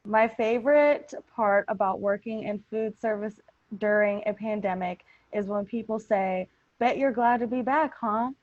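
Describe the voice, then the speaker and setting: A woman's voice: medium pitch, a little slow, no room sound. A woman hosting a casual group chat in an informal, teasing way. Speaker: podcast host. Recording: muffled, dull lo-fi podcast audio.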